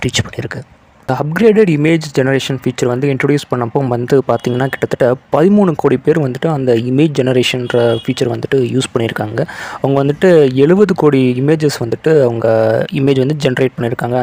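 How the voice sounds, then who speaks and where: Fast-talking man with a creaky voice. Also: medium pitch, a little mellow. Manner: conversational, repeats filler words often, mixes in English terms. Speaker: tech news video host. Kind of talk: informal explanatory news narration to viewers.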